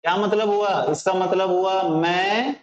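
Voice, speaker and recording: A man with an Indian accent teaching English informally, repeating phrases slowly and often checking that learners understand. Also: high-pitched, fairly even intonation. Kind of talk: informal spoken lesson. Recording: roomy echo, slightly dull sound.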